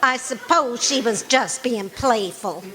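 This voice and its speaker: An actress playing a wry, patient, teasing wife in old-time radio comedy style. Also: female voice, on the high side, takes it slowly. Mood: polite, curious. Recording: live stage recording with faint hiss.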